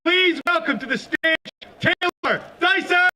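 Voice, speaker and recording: A man's voice: extremely high pitch, unhurried, bright, forceful tone, slight background noise, poor audio. A man acting as compere at an outdoor rally, enthusiastically introducing the next speaker. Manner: enthusiastic, rousing. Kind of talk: stage introductions.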